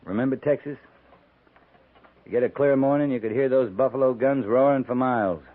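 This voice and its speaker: An actor playing a grim, seasoned frontier army officer, terse and grave, in a 1950s western radio drama. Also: male voice, medium pitch, brisk, natural voice. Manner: terse, grave, plain-spoken. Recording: thin, tinny 1950s radio recording.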